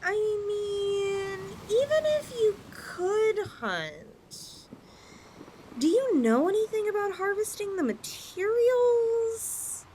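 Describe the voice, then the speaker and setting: A woman's voice: extremely high pitch, very animated, slow, a little mellow, a little hiss or hum, heavily acted. A woman voicing a possessive, flirtatious lamia in a roleplay ASMR style, coaxing her chosen mate with sweet condescension. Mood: condescending, insistent.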